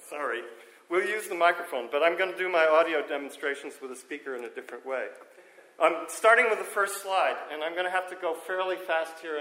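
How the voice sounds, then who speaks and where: A man's voice: on the high side, pushed and bright, roomy sound, rough recording. A man giving a technical conference talk to a room, informal and self-correcting, with a strong speaking voice, checking in with the audience as he goes. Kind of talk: technical lecture on hearing and audio. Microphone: handheld or stand microphone.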